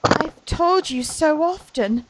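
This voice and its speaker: A woman's voice: high voice, expressive, slow, very noisy. A woman playing an innocent, fanciful young lady in a Victorian radio play, wistful and poetic. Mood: dreamy, wistful. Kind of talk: Victorian period radio acting.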